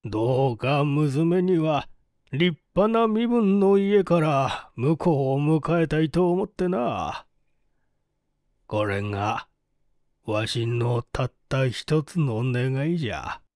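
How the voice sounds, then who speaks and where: Man acting old village landowner, humble, rustic, unhurried, storybook character, slightly high pitch for a man. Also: expressive, a little mellow, dry recording, clean studio sound. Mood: humble, wishful. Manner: rustic old-man speech, slow and deliberate. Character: humble, doting old village landowner, self-made farmer. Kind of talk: audiobook character voice.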